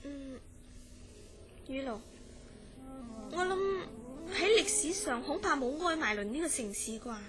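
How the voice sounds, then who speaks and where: Actress as high-voiced, concerned Cantonese female friend. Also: very animated, unhurried, pauses often, over music. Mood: inquisitive. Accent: Hong Kong Cantonese. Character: concerned, inquisitive female friend of a troubled dreamer. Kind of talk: Cantonese radio horror drama acting.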